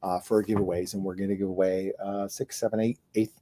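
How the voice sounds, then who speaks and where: A man's voice: deep voice, flat delivery, fairly quick, warm tone. A man co-hosting a casual livestream chat. He talks in a chatty, jokey way, often trailing off and restarting. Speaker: co-host.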